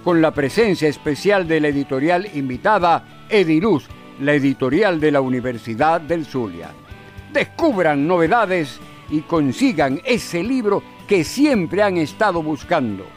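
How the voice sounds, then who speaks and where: High-pitched promo man over faint music. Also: big pitch swings, measured pace. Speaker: promo announcer. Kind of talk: scripted radio event promo.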